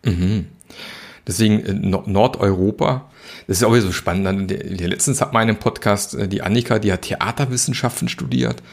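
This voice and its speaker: A man's voice: fairly low pitch, a little fast, creaky. A male podcast host chatting casually with his guest, with lots of restarts and fillers. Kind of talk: casual interview.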